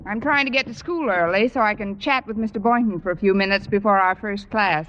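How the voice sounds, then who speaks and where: An American actress playing a wry, wisecracking English teacher with dry comic timing in an old radio comedy. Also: female voice, medium pitch, a little fast, a bit bright, natural voice. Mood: dry, playful. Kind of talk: 1940s-50s radio comedy acting. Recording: muffled old radio recording, faint noise.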